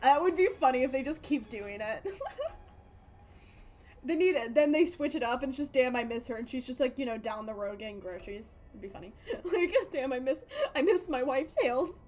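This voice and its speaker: Girl streaming a game, chatty and rambly, repeats herself, bit high pitched, faint music behind. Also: animated, moderate pace, darkish tone. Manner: playful, rambling, repeats phrases. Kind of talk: casual live stream chat.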